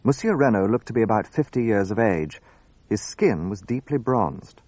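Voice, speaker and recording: An earnest, observant male narrator in a radio mystery. His husky voice moves expressively at a medium pitch, recorded close and dry. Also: expressive, moderate pace, a little mellow, dry recording. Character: observant gentleman companion narrating a murder case. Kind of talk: dramatic scripted radio narration.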